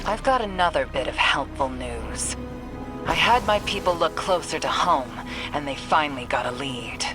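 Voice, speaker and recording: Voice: mid-range voice, animated, moderate pace, natural voice. An actor playing a commanding, pragmatic intelligence officer in a video game, briefing in a clipped, tactical manner. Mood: businesslike. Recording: loud game music over the voice.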